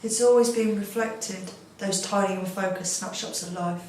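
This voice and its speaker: A woman's voice: mid-range voice, fairly even intonation, moderate pace, darkish tone. Woman reciting poetry, echoey and distant. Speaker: poetry reader. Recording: echoey, distant mic, faint noise.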